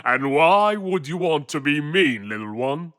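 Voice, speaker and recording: Casting: sly, calculating children's cartoon baddie, falsely friendly, slow and animated, music underneath. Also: male voice, fairly high pitch, smooth. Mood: sly, curious. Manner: condescending, calculating, drawn-out. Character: sly scheming male villain, Mister Fangs, feigning friendliness.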